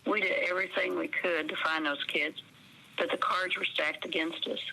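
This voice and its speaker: A woman's voice: on the low side, fairly even intonation, normal speed, gravelly, pushed and bright, slightly rough, full character voice, poor audio. A woman playing a guarded, blunt park ranger investigator, answering interview questions matter-of-factly with an American accent. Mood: defensive, weary.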